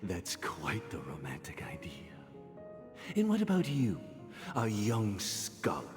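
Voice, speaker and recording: An actor playing a gentle, theatrical guardian figure talking to children in a measured, indulgent, storytelling way for a video game. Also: low voice, huge pitch swings, full character voice. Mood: indulgent, musing. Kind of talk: scripted video game voice acting. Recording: long hall reverb under clear music.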